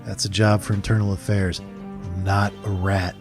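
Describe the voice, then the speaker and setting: Male detective, acted: sardonic, tired, profane, lower register, radio crime drama with music bed. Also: little pitch movement, moderate pace, natural voice. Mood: frustrated. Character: wisecracking, weary, loyal male police detective. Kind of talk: audio drama acting.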